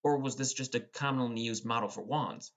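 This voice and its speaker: A man's voice: medium pitch, fairly even intonation, brisk. A man reading first-person scripted narration of a fantasy story as an audiobook narrator.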